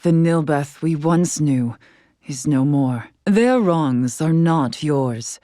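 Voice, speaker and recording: Stern scholarly fantasy noblewoman, lowish pitch, formal archaic diction, measured delivery, video game dialogue, close dry sound. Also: animated, mellow, no echo. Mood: solemn.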